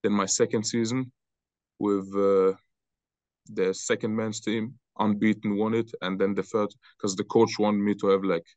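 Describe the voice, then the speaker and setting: A young man from Austria, answering interview questions in loose, halting talk on a video call. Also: lowish voice, little pitch movement, darkish tone, dry recording. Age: young adult. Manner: loose, halting, repeats words. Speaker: interview guest, football player. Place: bright room indoors. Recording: dull lo-fi video call audio.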